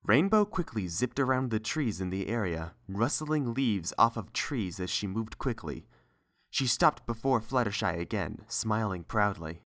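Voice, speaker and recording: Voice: mid-range voice, very animated, a little slow, a bit bright. A narrator reading scripted story prose.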